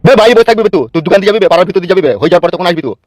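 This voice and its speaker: A man's voice: high-pitched, racing, no echo, natural voice. A man playing a lecherous, bullying village politician in a Bengali cartoon, brusque and domineering, with a rural West Bengal accent. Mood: bullying, impatient. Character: lecherous, bullying male village politician, foul-mouthed and scheming. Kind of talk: crude comic cartoon dialogue. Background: background music.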